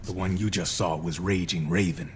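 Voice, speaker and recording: Man acting a slick, low-voiced streetwise arms dealer. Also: fairly even intonation, noisy background, natural voice. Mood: somber, dramatic. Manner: streetwise slang, drawn-out dramatic storytelling. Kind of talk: video game cutscene acting. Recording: loud music behind the voice.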